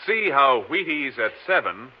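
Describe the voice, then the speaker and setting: A man's voice: mid-range voice, very animated, a little slow. A man announcing on a 1950s radio program in the style of the period. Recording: muffled old radio broadcast recording.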